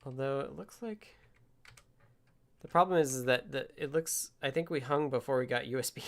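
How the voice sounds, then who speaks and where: A man with a creaky, gravel-edged voice at a middling pitch, hosting a casual programming livestream. He thinks aloud in halting bursts, often muttering to himself as he works at his desk. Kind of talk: casual live coding stream, thinking aloud. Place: indoors at a desk with computer.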